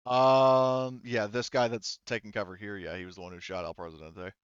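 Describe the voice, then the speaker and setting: Smooth low-voiced guy game mastering, thinks out loud, dry slightly dull lo-fi sound. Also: little pitch movement, normal speed, no room sound. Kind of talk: casual tabletop game narration and rules talk.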